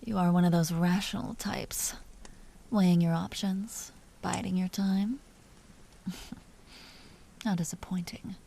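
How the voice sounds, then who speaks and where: Vampire duchess, played by a woman: seductive, imperious and teasing, dark soft tone, unhurried, intimate audio roleplay with whispered phrases. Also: lowish voice, fairly even intonation, slight background noise. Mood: taunting. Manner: slow, breathy delivery dropping often into whispers. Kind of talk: scripted audio roleplay, intimate monologue to listener.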